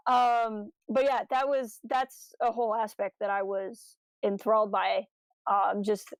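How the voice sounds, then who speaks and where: A woman co-hosting a podcast, talking casually as a fan and telling stories in an animated, slangy, enthusiastic way. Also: mid-range voice, unhurried, no echo. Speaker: podcast co-host.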